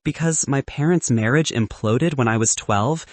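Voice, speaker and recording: Wry, husky cynical best man read by a man. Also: medium pitch, moderate pace, no room sound, close to an everyday voice. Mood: apologetic, confessional. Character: cynical, self-deprecating best man, nervously sincere romantic lead. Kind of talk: audiobook character dialogue.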